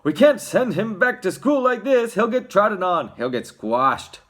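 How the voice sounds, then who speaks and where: A performer playing a blustering, shouting storybook father. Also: medium pitch, big pitch swings, unhurried, voice pushed up. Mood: alarmed. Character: blustering, sharp-tempered father, indignant and alarmed.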